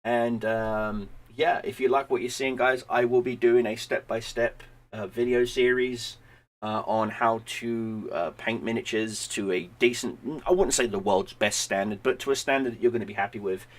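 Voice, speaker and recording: A man, hoarse and losing his voice, chatting loosely to viewers at home at the end of a stream, rambling and repeating words. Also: mid-range voice, fairly even intonation, moderate pace. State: losing his voice, sinus infection. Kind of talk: casual stream sign-off chat.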